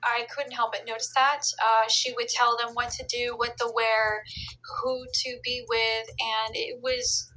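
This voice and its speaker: Bright-voiced woman telling a story. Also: a little high, flat delivery, a little slow, slight background noise, poor audio. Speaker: spiritual practitioner recounting a session. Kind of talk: casual storytelling to camera.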